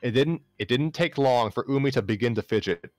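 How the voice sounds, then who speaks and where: A man's voice: medium pitch, fairly quick, no room sound, studio quality. A man narrating a story aloud live, sometimes stumbling and rereading phrases. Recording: music playing behind the voice.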